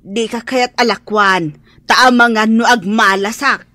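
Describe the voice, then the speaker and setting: Fish seller, highish voice, slow pace, polite, persistent, Ilocano accent, radio drama. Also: female voice, steady tone, sharp tone, no echo, clean studio sound. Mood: polite, coaxing.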